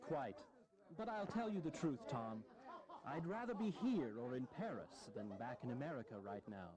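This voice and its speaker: A man's voice: medium pitch, big pitch swings, moderate pace, a crowd in the background, lightly acted. An actor playing an aging, self-deprecating American statesman in a historical audio drama, sardonic, blunt and earnest. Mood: dry humour.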